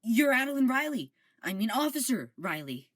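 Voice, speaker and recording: A woman's voice: fairly high pitch, sing-song, moderate pace. A woman voicing a hesitant, polite young officer who trips over her words in an amateur audio drama. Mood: flustered.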